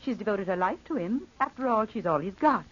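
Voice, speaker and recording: An actress playing an opinionated, dismissive local gossip in a 1940s radio drama, with a brisk, knowing manner. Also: female voice, mid-range voice, animated, slight background noise, natural voice. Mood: scoffing, gossipy. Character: dismissive, opinionated small-town gossip woman. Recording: dull vintage radio drama recording.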